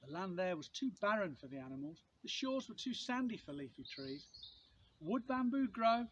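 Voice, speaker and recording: A man reading a children's picture book aloud in an expressive way, with measured, dramatic phrasing and pauses for effect. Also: high voice, lo-fi sound, a little hiss or hum. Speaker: storybook reader, outdoor teacher.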